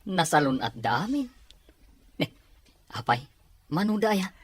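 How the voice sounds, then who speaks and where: A man playing a skeptical, bantering young friend in an Ilocano audio drama, with a husky voice. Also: sits high, very animated, takes it slowly, full character voice. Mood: incredulous. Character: skeptical young male buddy, bantering and incredulous.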